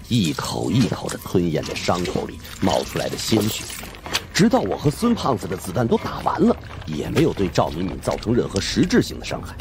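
A male audiobook narrator with a deep voice tells a suspense tale in the first person. His delivery is dramatic and extremely varied in pitch, over a clear music bed. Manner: animated, dramatic storytelling.

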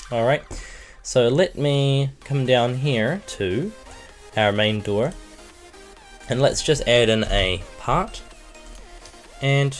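A man with a croaky, sick voice, casually walking through a game-building tutorial step by step. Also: medium pitch. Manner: casual, explains step by step with many okays and uhs. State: hoarse from being sick. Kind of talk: screen-recorded tutorial narration. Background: faint background music.

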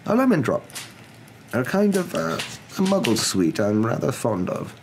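A man voicing a gentle, calm old wizard with a courteous, unhurried and whimsical way of talking, in a storybook reading. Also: mid-range voice, pitched above the performer's own voice. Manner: unhurried, courteous, mildly amused. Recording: clear background noise.

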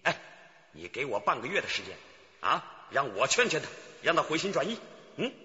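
A man's voice: medium pitch, big pitch swings, moderate pace, bright, forceful tone. An actor playing a scheming, hot-headed henchman in a radio drama, coaxing and emphatic in a conspiratorial way. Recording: muffled broadcast with music behind.

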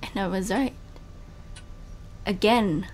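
A woman's voice: medium pitch, slow pace, a little mellow, slight background noise, close to an everyday voice. A woman playing a betrayed, bitter and guarded young female spy in an emotional audio roleplay. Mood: accusing, hurt.

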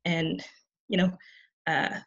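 A young woman in her twenties gives a student presentation over a video call. Her voice sits a little low, and she talks softly and hesitantly with frequent fillers. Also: little pitch movement, slow pace, creaky, slightly rough, dull audio. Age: 20s. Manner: soft-spoken, hesitant with many fillers. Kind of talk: conference presentation.

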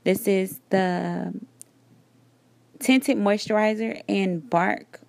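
A woman's voice: medium pitch, drawn-out words, creaky, no echo. Woman in her thirties, dry chatty voiceover. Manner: relaxed, chatty step-by-step explaining. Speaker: beauty vlogger. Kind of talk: makeup tutorial voiceover. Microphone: voiceover recorded separately.

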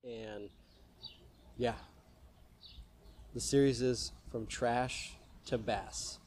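A man's voice: medium pitch, steady tone, drawn-out words, darkish tone, slight background noise. A young man talking loosely to the camera outdoors about his do-it-yourself project, with fillers and restarts. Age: young adult. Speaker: fishing vlogger. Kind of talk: casual DIY vlog talk. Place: outdoors by a wall in a yard.

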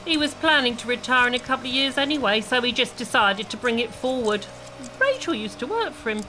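A high, very animated salon owner, acted by a woman in an audio play. She is chatty and bustling, gossiping and gushing in a bright, forceful voice. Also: fairly quick, slight background noise, rough recording. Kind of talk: amateur audio play acting.

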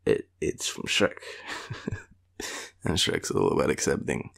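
Deep, gravelly, sleepy Australian man. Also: sing-song, a bit bright. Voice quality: low, gravelly, husky. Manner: sleepy, playful, teasing, halting. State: tired. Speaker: boyfriend roleplay audio performer. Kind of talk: intimate boyfriend roleplay, casual sleepy rambling.

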